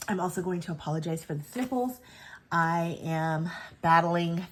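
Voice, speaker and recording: A woman's voice: fairly low pitch, moderate pace. A woman host chatting casually through her weekly planner setup, stuffy-nosed from a cold, with lots of ums and self-corrections. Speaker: planner sticker channel host. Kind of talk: casual planning vlog chat.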